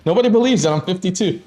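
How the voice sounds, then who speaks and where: A man's voice: sits high, mellow. A man in his fifties chatting casually on a live stream, blunt and frank, swearing often and laughing between thoughts. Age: 50s. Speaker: stream co-host.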